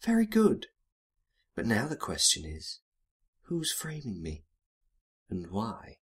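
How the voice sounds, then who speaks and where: A man voicing a sultry, teasing female outlaw in a noir detective drama, coy and unhurried. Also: on the low side, huge pitch swings, breathy edge, full character voice. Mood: coy, probing.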